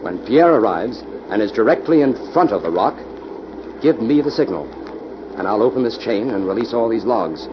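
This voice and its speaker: A man's voice: fairly low pitch, animated, moderate pace, lightly acted. A man playing a confident, authoritative city detective in an old-time radio drama. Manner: authoritative, clipped instructions. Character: confident, commanding male city detective hero. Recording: muffled old radio recording, faint noise.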